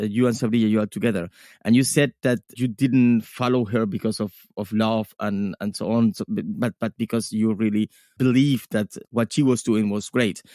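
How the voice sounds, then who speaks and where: A man hosts a podcast interview in a voice of ordinary male pitch. He asks halting questions full of fillers and answers with short acknowledgments. The recording is dry and close, with no room sound. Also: dark tone, no room sound. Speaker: podcast host.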